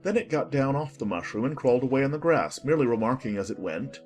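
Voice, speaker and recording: A man's voice: mid-range voice, lively intonation, quick pace, a little mellow, soft background music. A man narrating a dramatized children's storybook in a scripted audiobook style.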